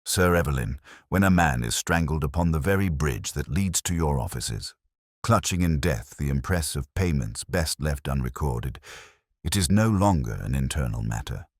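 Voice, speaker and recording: A man's voice: very deep voice, lively intonation, normal speed, a little mellow, dry recording, studio quality. A man voicing a brilliant, unyielding Victorian London detective in an audiobook, formal and decisive in his speech. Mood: resolute.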